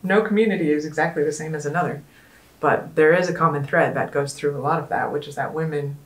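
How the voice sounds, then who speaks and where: Slightly low-voiced woman hosting a panel. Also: roomy sound, low-quality recording. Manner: earnest, conversational, with frequent ums and trailing pauses. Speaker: panel host. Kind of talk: casual conference panel talk.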